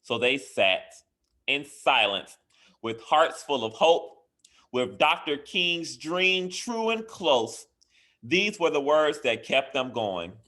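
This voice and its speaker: Slow, bright-voiced man reading a storybook. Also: fairly high pitch, very animated. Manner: deliberate, emphatic phrasing with dramatic stresses. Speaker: school educator reading a bedtime story. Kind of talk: expressive picture-book read-aloud for children.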